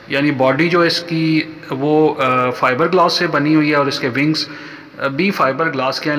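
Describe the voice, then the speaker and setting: Male Pakistani vlog host, mid-pitched, polite and halting tour narration, long reverb on the voice. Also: bright, forceful tone. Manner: halting, polite, addresses viewers formally. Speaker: real estate vlogger and host. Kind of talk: informal site tour narration.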